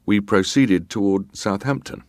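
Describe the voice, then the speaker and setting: An actor playing a terse, guarded ship's officer giving formal, respectful, matter-of-fact testimony in a dramatised hearing. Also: male voice, on the low side, measured pace, a little mellow, no room sound, studio quality, close to an everyday voice. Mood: precise, formal. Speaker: actor reading a witness's testimony.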